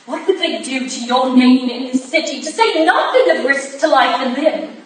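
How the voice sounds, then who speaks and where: A high-voiced Victorian wife, played by an actress in a period stage play, hurt and indignant. She challenges and reproaches pointedly, heard at a distance in a very echoey room. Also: expressive, moderate pace, slight background noise. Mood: reproachful. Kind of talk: staged reading of a period drama. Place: staged reading in a room, recorded from afar.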